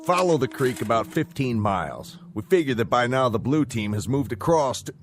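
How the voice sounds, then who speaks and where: An actor playing a gruff, skeptical army officer who mocks his sergeant's story. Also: medium pitch, sing-song, normal speed, background noise. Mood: sarcastic. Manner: sardonic, mocking. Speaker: commanding officer. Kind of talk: 1960s television drama acting.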